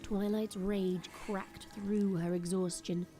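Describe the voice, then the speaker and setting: A woman's voice: mid-range voice, flat delivery, takes it slowly, dark tone. A woman giving dramatic scripted narration as the narrator of an audio drama. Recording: music behind the voice. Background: clear background music.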